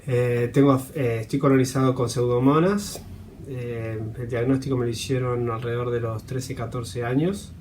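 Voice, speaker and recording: Halting man over faint noise. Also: mid-range voice, fairly even intonation, a little mellow. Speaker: patient sharing personal experience. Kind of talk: halting personal testimonial.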